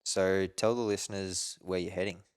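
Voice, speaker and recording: A man hosting a podcast, asking easygoing questions and signing off in a relaxed, friendly way. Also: deep voice, normal speed, clean tone, no echo, studio quality. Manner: easygoing, friendly prompts, filler-heavy. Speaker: podcast host. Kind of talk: casual interview chat.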